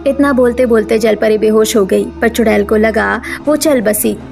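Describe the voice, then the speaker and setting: High, creaky-voiced woman storyteller. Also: moderate pace, darkish tone, faint music behind. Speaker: story narrator. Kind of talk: scripted cartoon storytelling narration.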